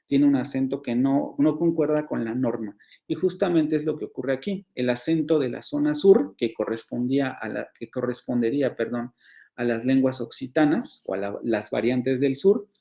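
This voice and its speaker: A man with a Mexican Spanish accent teaching a university phonetics class online, his voice at a middling pitch. He explains step by step, pausing on fillers, restarting phrases and checking in with rhetorical questions. The sound is dull and lo-fi. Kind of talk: online lecture.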